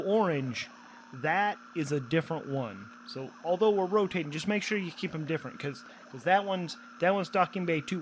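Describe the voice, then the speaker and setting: A man's voice: on the high side, voice pushed up, close to an everyday voice, low-quality recording. A man playing a casual, joking space station traffic controller who rambles hesitantly in slang, in an improvised audio drama.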